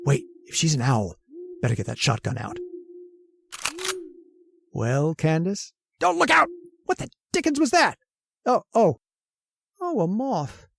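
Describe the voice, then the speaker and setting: A man's voice: high voice, very animated, a little slow, vocal fry, long pauses, fairly bright tone, full character voice. An actor playing a rattled man hunting his deadly sweetheart in old-time radio horror, muttering to himself and stammering. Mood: menacing, gleeful, then startled, frantic.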